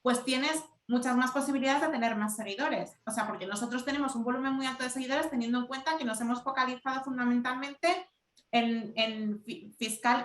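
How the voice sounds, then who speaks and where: A woman with a slightly high voice lays out her advice in a measured, explanatory way, circling back to stress her points. She speaks over a video call, and her voice rings a little in an echoey room. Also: fairly bright tone. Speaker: corporate communications lead. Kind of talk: webinar panel answer.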